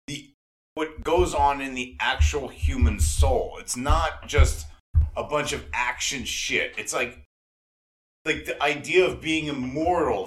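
A man in his forties talks in a casual, rambling way as an enthusiast hosting a chat. He is earnest and halting, circles back over his phrases and swears freely, with a mid-pitched voice. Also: fairly bright tone. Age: 40s. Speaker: podcast host and devoted fan. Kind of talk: casual, rambling fan conversation.

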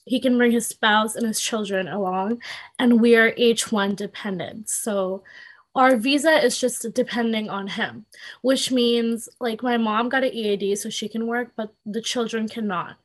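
A woman's voice: a little high, fairly even intonation, measured pace. A woman of twenty, a podcast guest, explaining her situation in a chatty way full of "like" fillers. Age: 20s. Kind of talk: casual chat.

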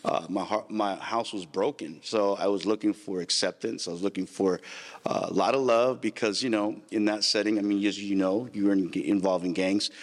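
Low-voiced evangelist man, reverberant room. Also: fairly even intonation, normal speed. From Santa Maria, California. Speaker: evangelist guest. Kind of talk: testimony in an interview. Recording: long hall reverb.

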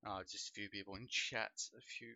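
A man's voice: fairly low pitch, brisk, no echo. Young Polish-born man, dull lo-fi stream audio. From Poland. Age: young adult. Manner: relaxed, rambling, heavy with fillers like "like" and "bro". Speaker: streamer and podcast host. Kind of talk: casual chat on a livestream. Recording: dull lo-fi home mic sound.

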